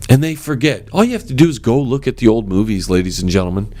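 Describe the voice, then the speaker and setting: A man hosting his own talk show, with a strong voice, delivering a forceful, animated opinion monologue straight to his listeners. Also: quick pace, no room sound. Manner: animated and forceful, swings up in pitch; addresses listeners as ladies and gentlemen.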